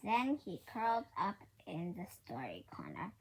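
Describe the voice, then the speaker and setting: A woman reading a children's book aloud in a halting way, often repeating and correcting her words. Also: on the low side, huge pitch swings. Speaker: storybook reader. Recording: faint background noise.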